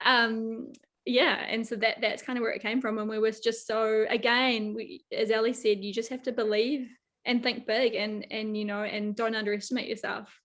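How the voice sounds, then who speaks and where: A woman producer from New Zealand speaking earnestly on a panel, with quick laughs. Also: fairly high pitch, little pitch movement, a bit bright. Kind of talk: panel talk.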